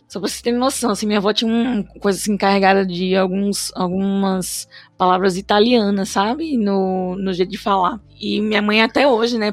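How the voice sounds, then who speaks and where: A woman's voice: mid-range voice, no room sound. A young Brazilian woman with a northeastern accent tinged by São Paulo speech, chatting casually and rambling with many restarts and "né" tags. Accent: Brazilian Northeastern mixed with São Paulo. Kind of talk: casual conversation.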